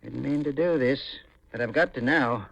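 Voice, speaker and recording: A man's voice: mid-range voice, normal speed, vocal fry, a bit bright, dry recording, dull audio. An actor playing a greedy, murderous middle-aged uncle in a 1950s radio mystery, calculating and insistent in his dramatic delivery. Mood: cold, menacing.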